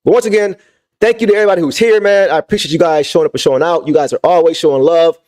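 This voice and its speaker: A young man with a voice going hoarse, hosting a livestream and venting about basketball, repeating his points with emphasis and talking to the chat. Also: on the high side, rapid-fire, darkish tone. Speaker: sports fan channel host. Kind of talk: livestream sports rant.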